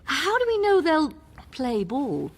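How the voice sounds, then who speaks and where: An actress playing a sharp, cool-headed young space traveller, assertive and probing, in a radio comedy. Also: female voice, high-pitched, wildly swooping pitch, slow pace, heavily acted. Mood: cool, questioning. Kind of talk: radio comedy drama acting.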